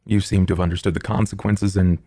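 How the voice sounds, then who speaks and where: A man playing a courtly, stern gentleman vampire, speaking in a measured, formal and proper way. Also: low-pitched, steady tone, dry recording, studio quality. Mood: formal, businesslike.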